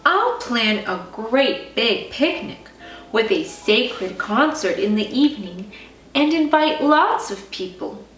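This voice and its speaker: Woman voicing an earnest, high-voiced teenage girl, roomy sound. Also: big pitch swings, takes it slowly, a bit bright, full character voice. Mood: eager. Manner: earnest, insistent. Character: earnest, confident early-teen girl full of faith. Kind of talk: children's storybook character reading. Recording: roomy, distant mic, faint music.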